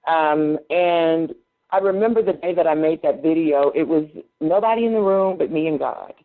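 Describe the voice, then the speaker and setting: A woman's voice: low-pitched, moderate pace. Talkative woman poet, candid storyteller, phone-line sound. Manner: talkative, candid, restarts often. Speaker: guest speaker and poet. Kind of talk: personal storytelling on a call.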